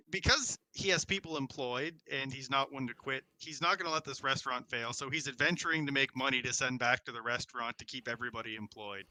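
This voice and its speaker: A man's voice: medium pitch, fairly quick, sharp tone, low-quality recording. A man playing a tabletop game, telling a story in a casual, humorous style.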